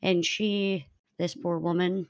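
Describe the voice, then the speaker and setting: A woman's voice: fairly low pitch, fairly even intonation, slow. A woman hosting a true crime podcast, narrating in an informal, conversational way between bits of casual chat.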